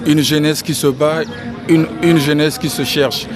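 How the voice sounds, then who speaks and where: A man from the Republic of the Congo giving an outdoor press interview, speaking in a measured way and repeating key phrases. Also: fairly high pitch, fairly even intonation. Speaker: interviewee, financial engineering expert. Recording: crowd noise behind.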